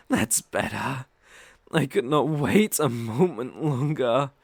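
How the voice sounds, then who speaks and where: A man's voice: on the high side, vocal fry, no room sound, clean studio sound, heavily acted. A man with a British accent playing a sadistic, predatory werewolf in an ASMR roleplay, theatrical and menacing, savoring his words. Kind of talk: ASMR roleplay audio drama.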